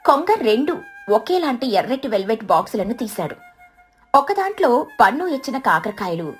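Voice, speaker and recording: A woman narrating a scripted children's story. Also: on the high side.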